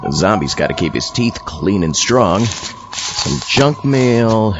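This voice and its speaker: A man's voice: fairly low pitch, sing-song, takes it slowly, highs cut off, music in the background, heavily acted. A man playing an easygoing zombie astronaut who hosts an old-time radio show, chatty and muttering to himself in a comedic monologue. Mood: musing, curious. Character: easygoing male zombie astronaut hosting from his underground lair. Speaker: podcast host.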